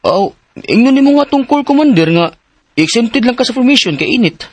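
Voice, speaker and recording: A practical young student with a Cebuano accent in a radio drama. The voice is husky and high, very lively in its melody, recorded dry. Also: male voice, fairly quick, no echo. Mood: practical, concerned.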